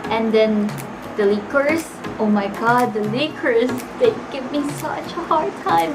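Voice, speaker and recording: Chatty Filipino woman over background music. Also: fairly high pitch. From Philippines. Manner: earnest, chatty, many fillers like "you know" and "like". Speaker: vlogger. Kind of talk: casual personal storytelling. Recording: music playing underneath.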